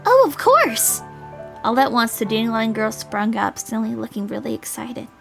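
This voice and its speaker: A woman's voice: on the high side, flat delivery, brisk, vocal fry, soft background music. A woman streamer reading a visual novel aloud, mixing story narration with casual asides.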